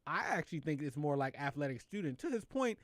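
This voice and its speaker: A man hosting a casual chat show about news and culture, animated, laughing often and stumbling over his words when excited. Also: fairly high pitch, fast talker, no room sound. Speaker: show host.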